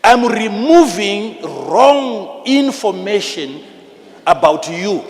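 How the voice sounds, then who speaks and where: A man with a Zimbabwean accent preaching a sermon in an emphatic, repetitive, teacherly manner, swinging between hushed and loud delivery. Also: sits high, big pitch swings, slow. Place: large church hall with PA. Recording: live in a large reverberant hall, noisy.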